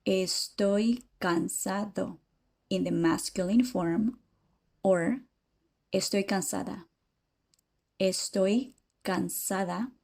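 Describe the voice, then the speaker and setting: Female teacher, slow and measured, mellow tone, careful lesson read. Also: mid-range voice, creaky, slightly rough. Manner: slow, careful delivery, repeating example phrases more slowly. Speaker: language teacher. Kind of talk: scripted lesson narration.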